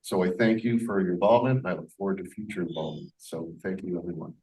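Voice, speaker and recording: Projecting low-voiced man, recorded at a distance. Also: fast talker, a little mellow, a little hiss or hum. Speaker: school leader. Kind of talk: informal prepared speech at a community event. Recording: distant microphone in a room.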